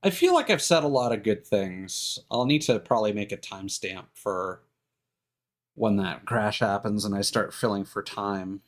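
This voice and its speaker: A man's voice: lowish voice, expressive, moderate pace. A man doing casual, rambling live stream commentary on a game, thinking aloud in hesitant fragments.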